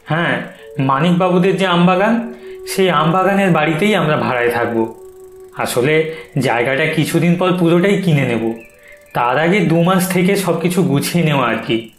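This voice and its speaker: A man voicing a young town-bred husband in a horror cartoon, conversational, brisk and insistent. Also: on the high side, faint music behind, close to an everyday voice. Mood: dismissive. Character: young husband Arjun, dismissive yet uneasy townsman. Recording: roomy echo.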